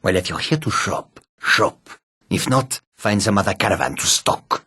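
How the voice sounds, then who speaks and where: An actor playing a haughty, menacing cat-folk merchant in a fantasy video game, curt and imperious in manner. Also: male voice, deep voice, lively intonation, moderate pace, pushed and bright, husky, heavily acted. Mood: suspicious, dismissive. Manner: curt, imperious, third-person self-reference.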